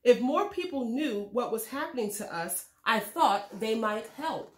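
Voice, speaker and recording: Woman, higher-pitched voice, children's read-aloud, expressive storybook narration, measured phrasing, echoey room. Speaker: read-aloud host reading a children's book.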